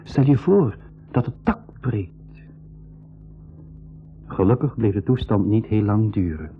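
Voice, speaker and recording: A man with a Flemish accent playing a lively, cheeky young boy who acts and narrates in a radio play. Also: lowish voice, takes it slowly. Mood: tense storytelling. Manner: animated, exclamatory. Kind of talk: radio play acting and storytelling. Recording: muffled 1980s school radio recording.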